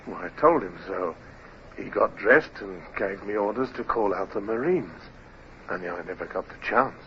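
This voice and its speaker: An actor playing an insolent sailor in a radio drama, slyly deferential and full of pointed insinuation. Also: male voice, lowish voice, animated, moderate pace. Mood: sly, insinuating. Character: insolent, insinuating male sailor, the captain's toady. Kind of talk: 1950s radio drama acting. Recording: muffled, hissy vintage radio recording.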